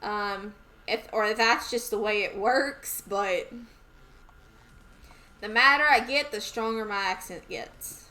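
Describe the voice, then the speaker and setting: A woman's voice: mid-range voice, slow, long pauses, sharp tone, slight background noise, poor audio. A woman with a Southern US accent, chatting casually to herself as she streams a game. She rambles and is easily amused.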